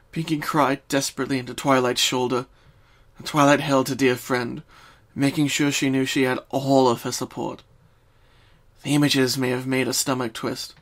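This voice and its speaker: A woman reading a scripted story as narrator, in an intense, theatrical style of dramatic fan fiction narration. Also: low voice.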